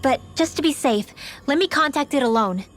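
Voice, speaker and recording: A woman's voice: sits high, lively intonation, moderate pace, faint music behind. A woman voicing an earnest young girl adventurer in a dramatic video game dub, with a slightly hesitant manner. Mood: determined.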